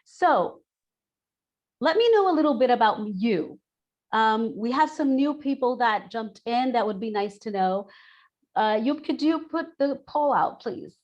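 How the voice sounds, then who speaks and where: A woman's voice: a little high, lively intonation, a little slow, darkish tone, muffled recording. A woman in her forties from Brazil, an expat coach giving a candid, chatty webinar talk over a video call and often restating her points. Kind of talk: webinar presentation.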